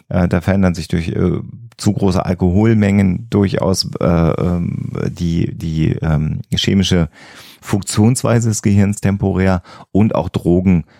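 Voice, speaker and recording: A man's voice: deep voice, gravelly, mellow, no echo, clean studio sound. A man who explains things as a podcast host, often rephrasing himself as he goes.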